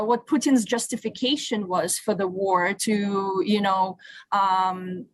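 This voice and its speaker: A woman from Ukraine, a native Russian speaker, speaking as an interview guest in a halting way, searching for words. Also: mid-range voice, fairly bright tone, no echo, low-quality recording. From Odessa, Ukraine; moved to Canada at eleven. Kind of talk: radio interview, personal account.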